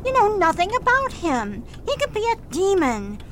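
A woman's voice: extremely high pitch, wildly swooping pitch, moderate pace, noisy background, heavily acted. A woman playing a stern scolding mother. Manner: scolding, forbidding. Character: stern, disapproving older mother, landlady. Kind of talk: amateur radio drama.

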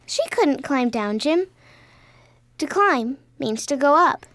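A woman's voice: high voice, lively intonation, takes it slowly, fairly bright tone, no room sound, heavily acted. A woman playing a plucky, earnest little girl who speaks matter-of-factly in a radio play. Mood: fretful.

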